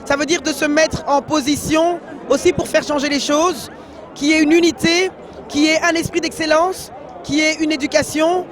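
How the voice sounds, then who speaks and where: A man with a very high voice speaks deliberately and with emphasis about change. He is interviewed outdoors, with crowd noise behind him. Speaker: member of an association. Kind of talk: street interview. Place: outdoor street protest.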